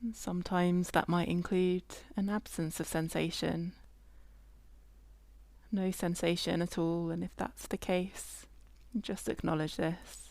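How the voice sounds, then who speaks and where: A woman leading a guided body scan meditation in a gentle, unhurried way, leaving long pauses between phrases. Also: fairly low pitch, little pitch movement, creaky, no room sound.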